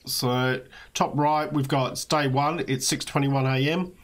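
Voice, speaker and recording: A man's voice: medium pitch, unhurried. Australian man explaining a game casually. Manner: relaxed, explanatory, frequent "uh" fillers. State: slightly hoarse, losing his voice. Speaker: let's play host. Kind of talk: casual gameplay walkthrough commentary.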